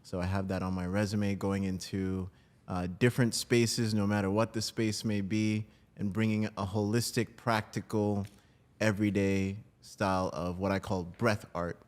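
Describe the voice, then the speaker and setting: A man's voice: fairly low pitch, little pitch movement, moderate pace. A man from New Jersey talking casually as an interview guest about his own work. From New Jersey, United States. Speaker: podcast guest, breathwork coach.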